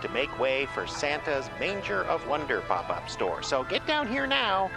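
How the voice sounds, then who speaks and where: Casting: booming horror-store pitchman, swooping voice, loud music under. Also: wildly swooping pitch, measured pace, creaky, full character voice. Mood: theatrical. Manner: theatrical, booming. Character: hammy male horror-store ad announcer. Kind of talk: spooky radio ad.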